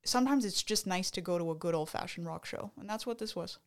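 A woman's voice: on the low side, fast talker. A Canadian woman co-hosting a podcast, chatting casually about a concert with many fillers and restarts. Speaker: podcast co-host. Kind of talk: casual chat.

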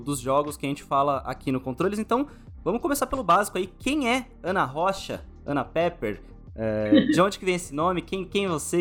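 A man's voice: a little high, a bit bright. A Brazilian man hosting a podcast interview in an informal, chatty, slangy way.

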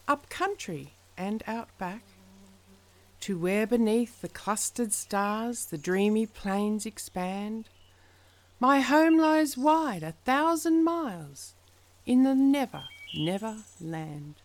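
A woman reciting poetry in a measured, dramatic style, with deliberate phrasing that falls away at the ends of lines. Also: mid-range voice, animated, a little mellow. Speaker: poetry reader.

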